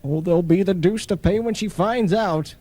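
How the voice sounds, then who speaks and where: A man's voice: high-pitched, moderate pace, dark tone. A man playing a vain, blustering middle-aged businessman, self-important and prone to flustered stammers, in an old-time radio comedy. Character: pompous, blustering henpecked businessman, vain and gullible.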